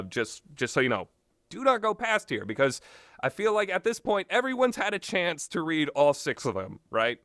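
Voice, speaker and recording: A man's voice: a little high, a bit bright, no echo, clean studio sound. Rambling, opinionated man reviewing games. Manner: blunt, opinionated, backtracks and goes on tangents. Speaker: video game and visual novel reviewer. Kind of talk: casual, rambling, unscripted review talk.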